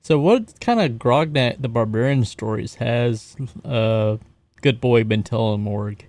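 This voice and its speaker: A man's voice: mid-range voice, measured pace, a little mellow, no echo. A man playing a tabletop roleplaying game, casually asking questions and chatting at the table.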